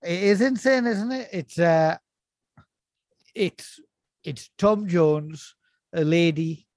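A man's voice: high-pitched, slow, a little mellow. A man in his forties co-hosting a casual chat, rambling and teasing with frequent chuckles. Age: 40s. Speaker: podcast co-host.

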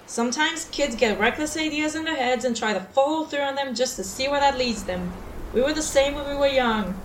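Woman acting a high-voiced, evasive, guarded woman. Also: fairly quick, slight background noise, natural voice, rough recording. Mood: defensive. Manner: evasive, defensive. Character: Glenna, evasive, guarded woman with divine powers. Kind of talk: audio drama dialogue.

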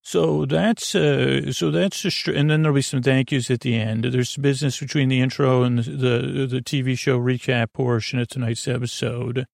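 Gravelly man rambling on a sleep podcast. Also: mid-range voice, steady tone, no room sound, studio quality. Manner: meandering, halting, repeats and trails off into tangents. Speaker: podcast host.

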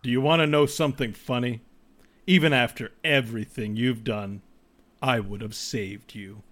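A man playing a grim, stalwart male vigilante hero in an amateur game-script reading, speaking low, slow and solemn. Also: steady tone, a bit bright, close to an everyday voice.

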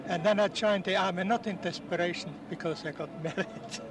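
An elderly man in his seventies, born in Austria, recalls his past in an earnest, halting way. His voice sits a little high and is picked up from some distance outdoors, with clear street noise around him. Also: fairly even intonation. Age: 70s. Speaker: war veteran interviewee. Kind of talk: outdoor interview, recounting memories. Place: outdoors at a public ceremony. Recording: distant mic, noisy outdoors.

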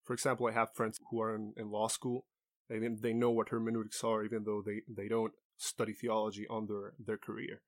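A man hosts a podcast on his own, teaching in an earnest, explanatory way. His voice sits on the low side. He occasionally restarts a phrase as he works through his points. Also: monotone, brisk. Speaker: podcast host. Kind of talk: solo teaching talk.

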